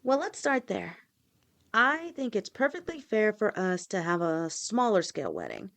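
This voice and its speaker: A caring snake-woman girlfriend, played by a young woman in an audio roleplay. She talks softly and reassuringly to her partner, patient and protective, in a mid-pitched voice. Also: big pitch swings, normal speed, creaky. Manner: soft, reassuring, speaks directly to a partner.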